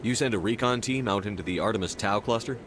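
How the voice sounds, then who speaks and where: A man playing a steady, direct military commander in a science fiction video game, terse and controlled. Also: fairly low pitch, fast talker, close to an everyday voice. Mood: grave, level. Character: steady, no-nonsense male military commander of space opera. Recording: clear background ambience noise.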